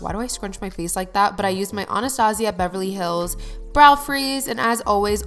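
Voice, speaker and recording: Hey guys girl doing a makeup voiceover, kinda nasal from a cold, chatty, medium pitch. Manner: chatty, upbeat, addresses viewers directly. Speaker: beauty vlogger. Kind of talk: get-ready-with-me voice-over.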